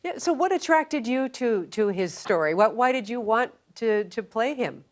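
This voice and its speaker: A woman's voice: a little high, animated, normal speed. A woman, speaking as a television host conducting an interview. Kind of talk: broadcast interview.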